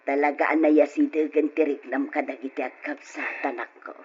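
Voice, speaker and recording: An actor playing an affectionate mother of a grown son in an old radio drama, unhurried and emotive, with an Ilocano accent. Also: mid-range voice, fairly even intonation, slightly rough. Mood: tender, serious. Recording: dull lo-fi radio recording with music.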